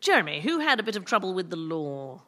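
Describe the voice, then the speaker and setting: A woman hosting a comedy panel quiz, reading out items with brisk, wry asides. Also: on the low side, very animated, bright, forceful tone, big-room sound. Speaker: quiz host.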